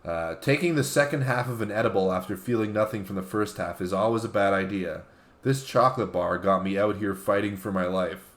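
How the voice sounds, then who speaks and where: A man guesting on a comedy talk show, bantering casually and reading posts aloud in a halting way. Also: lowish voice, a bit bright.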